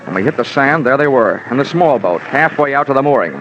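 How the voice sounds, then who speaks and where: An actor playing a wry, slangy, hardboiled insurance investigator who also narrates an old-time radio drama. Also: male voice, mid-range voice, animated, quick pace, background noise, lightly acted. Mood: dry narrating. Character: wry, tough American insurance investigator hero. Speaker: lead and narrator. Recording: muffled old radio recording.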